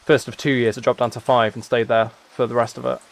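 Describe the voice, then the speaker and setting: A young British man chatting casually as he streams a game, rambling and thinking out loud as he goes. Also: medium pitch, flat delivery, fast, vocal fry. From United Kingdom. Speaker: live streamer.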